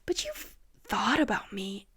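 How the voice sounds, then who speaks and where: A woman voicing a bitter, sneering rogue policeman who turns whiny with self-pity, in a breathy, half-whispered storybook reading. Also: medium pitch, wildly swooping pitch, a little slow, fairly bright tone, exaggerated voice. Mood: menacing. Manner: sneering, whining.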